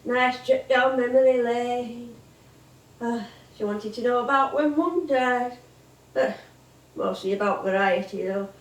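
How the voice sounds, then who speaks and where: Actress as chatty old northern english music hall lady, slow n high, echoey theatre. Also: lightly acted. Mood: reminiscing. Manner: homely, chatty, wistful. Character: old, warm, chuckling music-hall comedienne. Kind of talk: stage monologue and dialogue acting. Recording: distant mic in echoey theatre.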